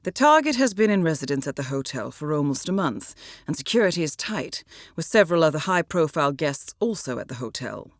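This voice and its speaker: A woman playing a cool, composed intelligence handler in a video game, giving mission briefings in a measured, formal, dryly witty manner. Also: sits low, very animated, no echo, clean studio sound. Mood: composed, businesslike.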